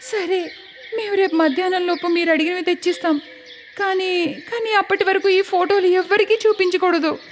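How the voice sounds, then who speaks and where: Woman voicing an anxious, high-pitched young village bride. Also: steady tone, normal speed, slight background noise. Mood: frightened pleading. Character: anxious, principled young village daughter-in-law. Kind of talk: cartoon story acting.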